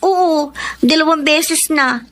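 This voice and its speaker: A woman's voice: high voice, slow, fairly bright tone. A woman playing a caring, outspoken young sister with a plaintive, girlish manner in a radio drama. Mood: worried. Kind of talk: Filipino radio drama acting.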